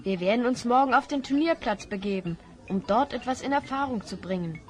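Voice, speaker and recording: A woman's voice: fairly high pitch, lively intonation, brisk, soft background music, lightly acted. A woman playing a brave, composed young hero in a fantasy audio drama. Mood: calm, resolute. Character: brave, composed young red-haired hero with magic staff.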